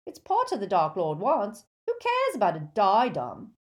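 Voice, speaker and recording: Woman doing big dumb schoolboy bully for an audiobook, higher voice, bad grammar, nasty. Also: huge pitch swings, moderate pace. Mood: ferocious, defiant. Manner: ungrammatical, blunt, belligerent. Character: hulking, soft-spoken, slow-witted, ferocious teenage schoolboy bully. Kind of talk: audiobook character voice.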